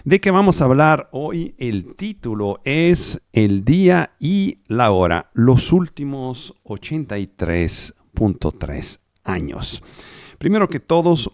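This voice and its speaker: A man teaching a live online Bible study in Spanish, which is not his first language, explaining scripture step by step and pausing on fillers. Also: medium pitch, darkish tone, dry recording, clean studio sound. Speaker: preacher.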